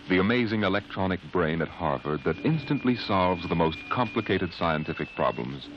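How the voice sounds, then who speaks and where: Male host, 1950s radio, theatrical and ominous, extremely low voice, dull aged recording. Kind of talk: scripted 1950s radio narration. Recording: muffled old radio recording, faint hiss.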